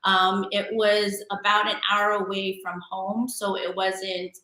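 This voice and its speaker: A woman's voice: mid-range voice, flat delivery, slow, bright, forceful tone, roomy sound, a little hiss or hum, low-quality recording. A woman, a guest chatting casually in an interview with many fillers and restarts. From San Francisco Bay Area, California. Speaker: guest entrepreneur and DJ.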